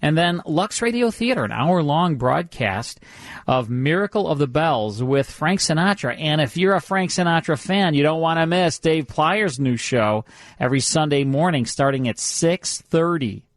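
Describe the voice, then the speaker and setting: Dry-sounding higher-pitched male radio host. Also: lively intonation, moderate pace, dry recording. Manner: self-deprecating, joking, rambling asides. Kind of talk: casual co-hosted radio banter and program previews. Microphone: close studio microphone.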